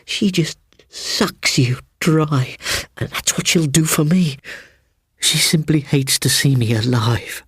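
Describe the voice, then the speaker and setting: Man acting a breathy, frightened British schoolboy. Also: fairly high pitch, takes it slowly, no echo, pitched above the performer's own voice, full character voice. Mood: bitter, intense. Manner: hushed, breathy whispering. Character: frightened, secretive, bitter schoolboy haunted by his aunt.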